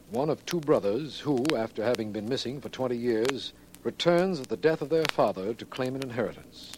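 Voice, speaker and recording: A man's voice: mid-range voice, natural voice. Male actor as district attorney, mid-range voice, formal, emphatic, 1940s radio acting, faint background noise. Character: earnest, quick-to-conclude male district attorney. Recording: faintly noisy old radio recording.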